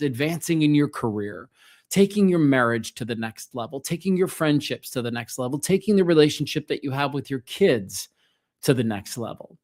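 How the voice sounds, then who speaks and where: A middle-aged man hosting a solo motivational podcast, speaking earnestly and emphatically, straight to the listener. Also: on the high side. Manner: earnest, emphatic, addresses listener directly with rhetorical questions. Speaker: podcast host and self-help coach.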